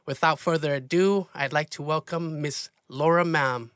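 A man's voice: fairly high pitch, steady tone, moderate pace, fairly bright tone, clear, resonant tone, no echo, clean studio sound. A man hosting an interview, chatting casually and upbeat, with lots of fillers. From US Pacific Northwest. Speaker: podcast host.

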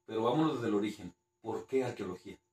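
A man's voice: lowish voice, lively intonation, quick pace, a little mellow, reverberant. A man with a Mexican accent hosting a casual interview, joking and a little nervous. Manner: joking, nervous, laughs often. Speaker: host.

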